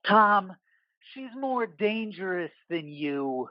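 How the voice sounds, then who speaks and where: A man's voice: high-pitched, big pitch swings, slow pace, no room sound, muffled recording, voice pushed up, exaggerated voice. A man voicing a sneering, wounded, menacing gang biker in an audio drama. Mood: sneering menace.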